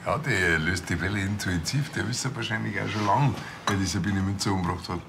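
A man's voice: low voice, brisk, creaky, noisy background. An actor playing a naive, easygoing village policeman in a TV drama, speaking casually with a Bavarian accent. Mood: earnest. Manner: casual, colloquial. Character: naive, laid-back male village policeman.

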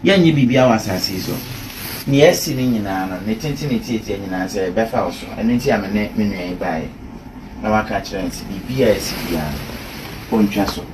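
A man in a small bedroom, pleading slowly and trying to make peace. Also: on the low side, distant mic, noisy background. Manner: slow, pleading. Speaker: man apologising.

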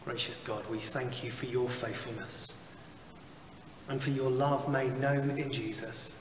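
A man in his thirties leading worship as a chaplain, reading psalms and prayers in a slow, measured liturgical way, recorded in a small chapel from a camera at a distance. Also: mid-range voice, steady tone, reverberant, in a large hall, background noise. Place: small chapel with wood-panelled wall. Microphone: far camera, seated behind a lectern.

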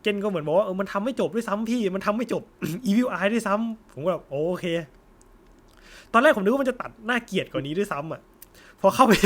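A young man hosting a casual discussion, explaining his views in a polite, conversational way. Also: sits high. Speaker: host of an anime discussion channel. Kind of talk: casual chat, after-viewing discussion.

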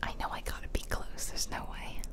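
A woman whispering softly and close to the mic as she gives chatty ASMR commentary over a video game, half muttering to herself. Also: brisk. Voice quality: soft unvoiced whisper. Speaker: gameplay video host. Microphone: close stereo mic, ear-to-ear whispering.

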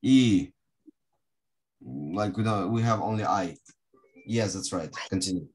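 A woman's voice: extremely low pitch, slow, darkish tone. A woman with as her language, teaching an interactive lesson on a video call in short prompts. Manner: short prompts, calls on pupils. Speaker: online English teacher. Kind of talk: casual interactive lesson with word games.

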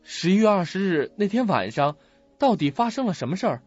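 An actor in an audio drama playing an earnest, puzzled young student who questions and muses to himself. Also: male voice, high voice, sing-song, a little fast, a little mellow, soft background music. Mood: pressing. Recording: muffled lo-fi audio.

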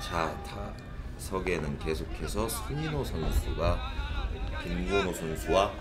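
A man calling an amateur baseball game live, moving from measured calls to excited shouts. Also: deep voice, over loud music, over a noisy crowd. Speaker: play-by-play commentator.

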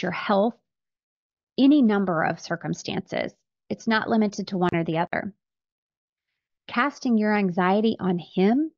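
A woman's voice: medium pitch, a little mellow, dry recording, highs cut off. A middle-aged woman hosting a faith podcast, explaining scripture earnestly into a close studio microphone. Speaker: podcast host. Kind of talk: faith-based podcast monologue, teaching scripture. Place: small home office with bookshelves, indoors. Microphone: close studio microphone on boom arm.

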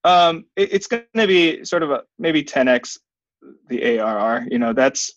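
A man in his thirties answering interview questions conversationally as a guest, in a measured, hedging way. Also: a little high, expressive, dry recording, muffled recording. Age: 30s. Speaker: software company founder, interview guest. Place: small plain indoor room. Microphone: webcam video call, wearing headphones.

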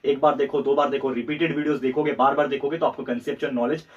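A young Indian man teaching a whiteboard lesson, slightly hoarse, mixing English words in and checking in with "theek hai". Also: mid-range voice, steady tone. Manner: fast, repetitive teacher talk with frequent "theek hai" checks. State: slightly hoarse throat, clearing it. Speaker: tutor. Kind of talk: whiteboard lecture in Hindi-English mix. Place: room in front of a whiteboard. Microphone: camera at a distance. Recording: distant, dull lo-fi camera audio.

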